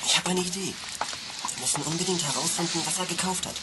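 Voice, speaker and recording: An actor playing a level-headed boy who owns a pet gibbon, speaking in a calm, practical way. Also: on the high side, fast talker, heavy background noise, lightly acted.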